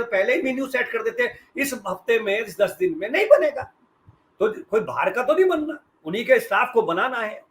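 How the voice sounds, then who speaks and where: A man's voice: sits high, a bit bright. A middle-aged man gives sarcastic, emphatic political commentary as a journalist on a debate panel. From western Uttar Pradesh, India.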